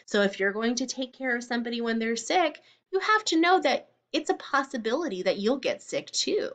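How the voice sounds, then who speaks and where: A woman's voice: a little high, very animated, a little fast, a bit bright. A woman reading a children's story aloud like a teacher, speaking expressively and explaining things simply to young listeners.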